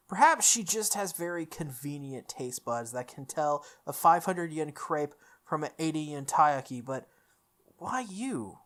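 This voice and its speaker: A woman reading a visual novel aloud in first person, with expressive delivery, occasional stumbles and her own asides. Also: deep voice. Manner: expressive, self-correcting reading.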